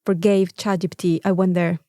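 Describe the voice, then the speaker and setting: A woman's voice: on the low side, level tone, fairly quick, warm tone, dry recording, clean studio sound. Effusive Mexican woman chatting, closely recorded. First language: Spanish. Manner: effusive, emphatic repetition. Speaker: podcast co-host. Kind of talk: casual chat, anecdotes.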